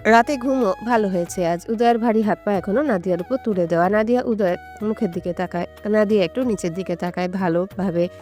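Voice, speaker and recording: A woman's voice: medium pitch, a little mellow. A woman reading a scripted romantic story as an audio story narrator. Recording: music under the voice. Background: background music.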